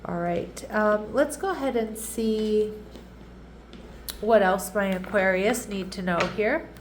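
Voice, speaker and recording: A woman with a stuffy, nasal voice talks through a tarot reading in a relaxed, chatty way, often checking in with her listeners. Her pitch sits in a middle range for a woman, and a faint hiss of background noise runs under her voice. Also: slow pace. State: congested, nasal. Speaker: tarot reader. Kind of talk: casual tarot reading monologue.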